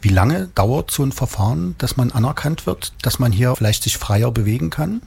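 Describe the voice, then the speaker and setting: Gravelly, husky male interviewer. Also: medium pitch, animated, moderate pace, darkish tone. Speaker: radio interviewer. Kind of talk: interview questions.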